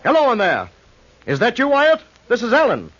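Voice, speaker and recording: An actor playing a polite, uneasy gentleman passenger in old-time radio horror style, with a courteous, earnest delivery. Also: very high voice, huge pitch swings, normal speed, dry recording, heavily acted. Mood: alarmed, urgent. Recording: muffled vintage radio recording, faint noise.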